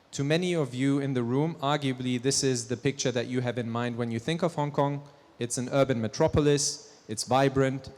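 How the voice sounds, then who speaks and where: A man in his thirties giving a conference presentation on stage with a handheld mic, talking conversationally with fillers and restarts. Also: mid-range voice. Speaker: company presenter. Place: large conference hall on stage. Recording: long hall reverb.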